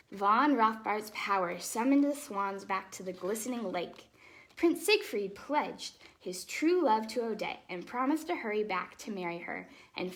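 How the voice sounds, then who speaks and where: A woman hosting storytime, reading a fairytale aloud to children expressively, with casual explanatory asides in a softer, chatty manner. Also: medium pitch. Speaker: storytime host and ballet dancer.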